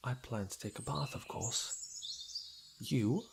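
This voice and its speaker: A man acting a playful, flirtatious fantasy lord in an intimate ASMR roleplay, with breathy murmurs and birdsong effects behind him. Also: medium pitch, slow pace. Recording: clear background noise with birdsong effects. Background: birdsong and outdoor nature sound effects.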